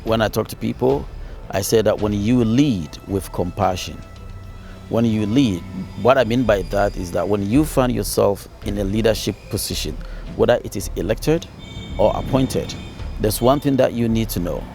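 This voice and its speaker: A man's voice: on the low side, moderate pace, gravelly, darkish tone, soft background music. A man from Ghana, a city councilor, giving earnest interview answers with fillers and repeated phrases.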